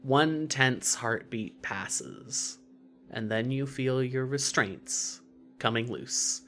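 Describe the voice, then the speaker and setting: A man narrating scripted fiction in a measured, wry manner. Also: mid-range voice, fairly bright tone.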